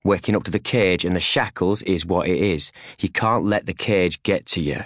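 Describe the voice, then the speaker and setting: Male narrator for literary fiction audiobook. Low, deep register, clipped short phrases, very dry recording. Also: normal speed, a bit bright, no room sound. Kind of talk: scripted first-hand fiction narration in second person. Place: recording studio.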